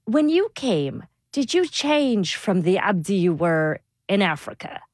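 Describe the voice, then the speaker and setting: Measured woman asking interview questions. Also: medium pitch, very animated, no echo, clean studio sound. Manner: measured, probing. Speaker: interview host.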